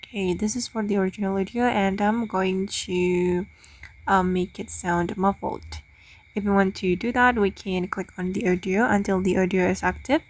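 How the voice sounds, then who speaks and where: A woman hosting a spoken software tutorial, explaining things simply, one step at a time. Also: medium pitch. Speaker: tutorial host.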